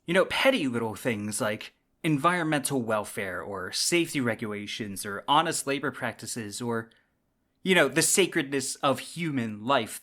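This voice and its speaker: A man's voice: mid-range voice, fairly bright tone. Male podcast host, wry storytelling delivery. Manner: dramatic emphasis with wry, colloquial asides. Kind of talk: semi-scripted conversational narration, storytelling monologue.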